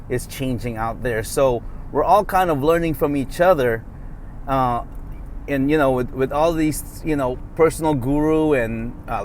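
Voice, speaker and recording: A man's voice: on the high side, slight background noise. A middle-aged man whose first language is Tagalog, talking off the cuff in a rambling vlog with many fillers, recorded while driving. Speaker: vlogger. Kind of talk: casual off-the-cuff vlog talk.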